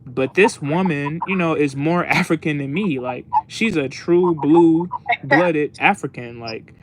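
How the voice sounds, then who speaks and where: A man's voice: a little high, unhurried. An American man co-hosting a podcast, chatting casually in an earnest, rambling way over an online voice call. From United States. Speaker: podcast co-host.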